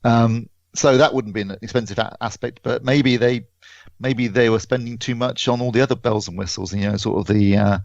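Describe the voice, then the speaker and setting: British male podcast panelist, creaky voice, loose rambling chat, dry slightly dull sound. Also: medium pitch, fairly even intonation, a little fast, a little mellow, no echo. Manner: rambling, conversational. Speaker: podcast reviewer. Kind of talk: casual podcast discussion.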